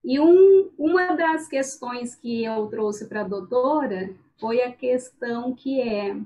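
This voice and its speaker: A woman mediator answering as a guest in a deliberate way, often adding "né". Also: fairly high pitch. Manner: slow, deliberate, with frequent "né". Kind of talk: interview answers.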